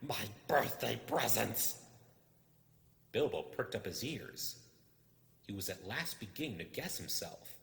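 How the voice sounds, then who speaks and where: Animated male storyteller in long reverb. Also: lowish voice. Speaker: storyteller, reader. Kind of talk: dramatic audiobook-style narration of suspenseful fantasy. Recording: echoing hall-like reverb.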